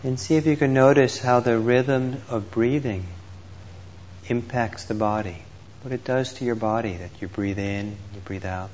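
A man teaching meditation, gently and unhurriedly guiding a group with pauses between instructions. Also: medium pitch. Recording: dull lo-fi audio with clear background noise.

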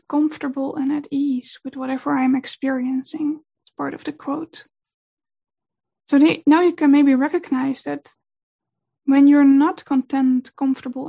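Dutch woman giving a meditation talk, high voice but kinda flat, a bit gravelly, slightly dull sound. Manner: gentle, unhurried, with many fillers. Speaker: meditation teacher.

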